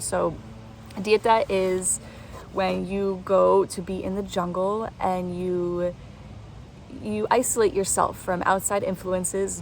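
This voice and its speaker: A woman hosting her own channel, talking softly and reflectively in a casual way. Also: mid-range voice, gravelly, a little hiss or hum, low-quality recording. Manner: soft-spoken, reflective. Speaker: host.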